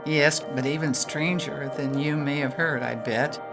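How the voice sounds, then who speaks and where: A woman's voice: low-pitched, steady tone, measured pace. A woman playing a kindly, devout storyteller who earnestly teaches children in an audio drama. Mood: storytelling. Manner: earnest, instructive, addresses listeners by name. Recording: music playing behind the voice.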